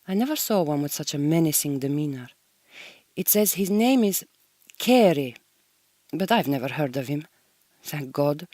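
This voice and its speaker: A woman's voice: low-pitched, lively intonation, normal speed, long pauses, warm tone, no room sound. Proud hungarian woman, wistful n easily offended, formal wording, radio play diary, dry sound. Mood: offended, disdainful. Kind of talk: recorded audio diary monologue in a radio drama.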